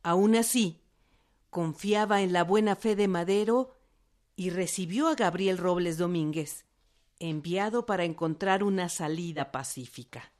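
A woman's voice: fairly low pitch, measured pace, a little mellow. A woman narrating a historical radio drama from a script.

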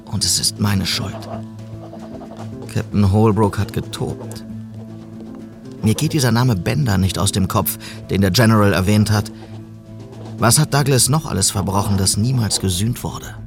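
Dutiful old west police sergeant, lowish voice, clipped and serious, radio play w music behind. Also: male voice, a little slow, creaky, long pauses. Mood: grim, self-reproachful. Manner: clipped, terse log-entry phrasing, sober and determined. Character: dutiful, earnest male police sergeant in 1890s frontier America. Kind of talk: German radio western acting and narration.

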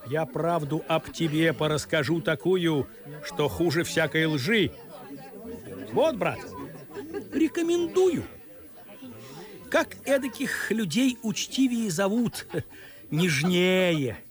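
An actor playing a blunt, good-natured grumbler of a society gentleman in a radio play, frank and mocking. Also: fairly high pitch, animated, slow, pauses often, some chatter behind. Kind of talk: theatrical radio play acting.

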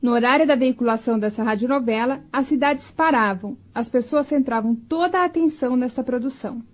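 A woman's voice: fairly high pitch, brisk, faint music behind. A Brazilian woman reading scripted narration as a radio documentary narrator. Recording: dull, band-limited audio.

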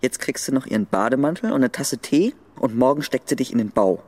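An actor playing the hateful, taunting ghost of a dead young friend, inciting and urging in a German radio drama. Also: male voice, mid-range voice, animated, fairly quick, darkish tone. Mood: sneering, distrustful.

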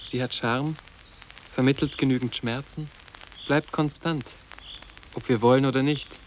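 A teenage schoolboy played by a male actor speaks in a radio play with dry, guarded sarcasm. His voice sits at an ordinary male pitch and sounds precociously formal. Faint ambient noise lies behind him. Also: steady tone, unhurried, natural voice, rough recording. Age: young. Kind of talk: radio drama acting.

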